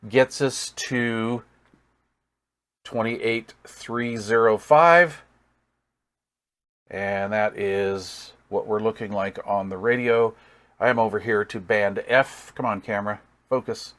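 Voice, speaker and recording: A man giving a casual hands-on product demonstration as a hobbyist reviewer, thinking aloud with folksy, easygoing enthusiasm. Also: on the low side. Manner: thinks aloud while working.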